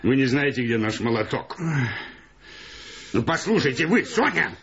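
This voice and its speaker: An actor playing a blunt, pestering double-bass player, gruff and insistent, in a radio drama. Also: male voice, very animated, normal speed, a bit bright. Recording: dull sound with faint room noise.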